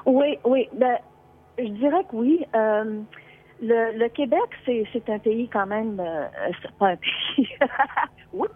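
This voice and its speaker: A woman's voice: a little high, slow pace. A woman from Quebec speaks over a tinny phone line. She chats casually as a caller. She hesitates and restarts her sentences often. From Quebec, Canada. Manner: hesitant, many fillers and restarts.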